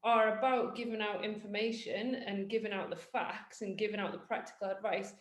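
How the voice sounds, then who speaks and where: A woman's voice: medium pitch, steady tone, fairly quick. A British woman hosting a casual fitness podcast, chatty and joking in a self-mocking way. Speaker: podcast host, personal trainer. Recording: roomy echo.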